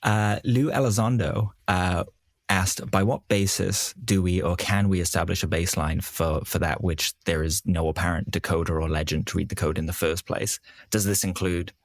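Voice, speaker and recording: Man, podcast host, very deep, measured, slightly hesitant, dry close sound. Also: fairly even intonation, dry recording. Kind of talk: reading listener questions.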